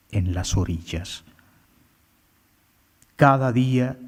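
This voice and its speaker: Male poet, low-pitched, unhurried and halting, recorded with long hall reverb. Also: a little mellow. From India. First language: Bengali. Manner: slow, halting, many filler sounds. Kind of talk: poetry reading with commentary.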